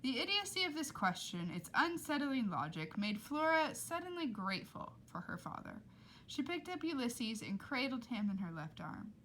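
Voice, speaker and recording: Animated woman reading a storybook aloud. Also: a little high, moderate pace, slight background noise, low-quality recording. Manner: dramatic, with emphatic character voices. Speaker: reader reading a children's novel aloud. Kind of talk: expressive storytelling narration.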